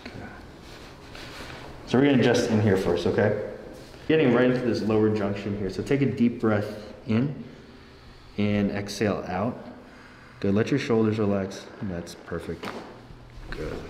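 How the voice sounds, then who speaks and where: A man's voice: lowish voice, normal speed, pauses often, darkish tone. A man working as a chiropractor, casually guiding a patient with short step-by-step directions and reassurance. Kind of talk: casual hands-on patient instruction. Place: indoor treatment room. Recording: echoey room with faint background noise.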